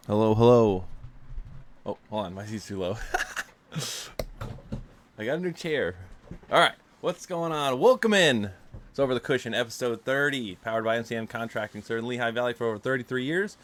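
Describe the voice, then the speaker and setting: A man's voice: mid-range voice, normal speed, a bit bright, slight background noise. A man hosting a casual chat show, stuffed up from allergies and talking loosely with lots of fillers. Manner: loose, filler-heavy talk. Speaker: podcast host. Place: home room with loud rain outside. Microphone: desktop microphone.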